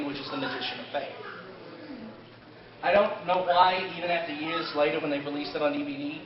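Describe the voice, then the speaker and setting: A man giving an informal fan panel lecture at a convention, a bit halting and given to joking asides. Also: fairly high pitch. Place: indoor convention panel room. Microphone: distant camera mic. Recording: echoey convention room, distant muffled camera mic, crowd. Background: audience crowd noise.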